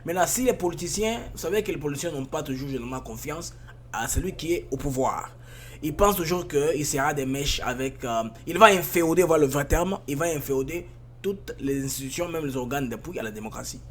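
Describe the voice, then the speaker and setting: Brief: male talk show host, slightly higher voice, animated and probing. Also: fairly quick, a little hiss or hum. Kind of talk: political talk show interview.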